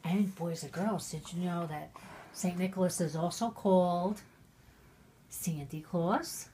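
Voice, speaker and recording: Drunk woman stumbling slowly through a reading. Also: on the low side, warm tone, slight background noise. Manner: halting, stumbling over words, irreverent. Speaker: mother reading a Christmas poem to her family. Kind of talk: tipsy storybook reading with ad-libbed asides.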